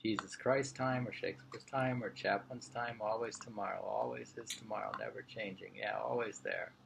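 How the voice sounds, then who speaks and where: Man with creaky voice reading aloud. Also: on the low side, steady tone, normal speed, background noise. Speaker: reader of a printed interview. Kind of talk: reading an interview aloud, informally.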